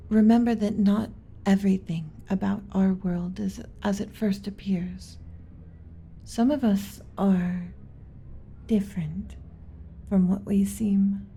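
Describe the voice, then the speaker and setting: A woman playing a gentle, submissive alien servant in an ASMR roleplay, speaking softly and breathily with hesitant, deferential pauses and some whispering. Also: medium pitch. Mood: gentle, cautious. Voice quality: soft, breathy with whispered stretches. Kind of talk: ASMR roleplay, soft intimate delivery.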